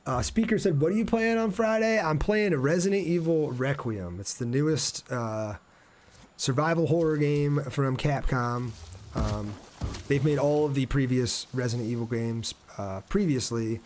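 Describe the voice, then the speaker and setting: A man's voice: medium pitch, normal speed, a little mellow. Casual, chatty man streaming a game. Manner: chatty, informal, self-deprecating joking. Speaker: game livestreamer. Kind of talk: casual livestream chat over gameplay, reading viewer comments aloud.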